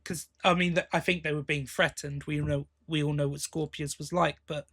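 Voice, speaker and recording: A man co-hosting a podcast, giving a casual review in a halting way, thinking aloud as he goes. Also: on the high side, little pitch movement, fairly bright tone. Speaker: podcast co-host.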